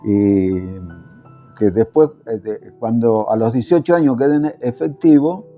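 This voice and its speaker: A man's voice: mid-range voice, unhurried, dull audio, soft background music. An older Italian-born man recalling his working years in a casual interview, hesitant and full of fillers. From Italy. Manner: hesitant, many fillers and restarts. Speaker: interviewee, former factory worker.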